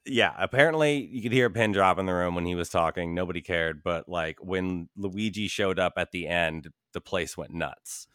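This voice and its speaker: A man's voice: low voice, normal speed, hardly any pauses, a bit bright, clean tone, no room sound, clean studio sound. A man chatting casually as a podcast host in informal, slangy language. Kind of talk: casual chat.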